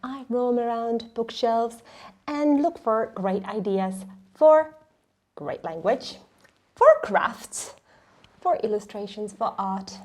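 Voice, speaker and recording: A middle-aged woman hosting a children's read-along, telling stories in an expressive, dramatic way that sometimes drops into a hush. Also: a little high, slow pace. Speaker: host of a children's read-along and craft show. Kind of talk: animated storytelling for children.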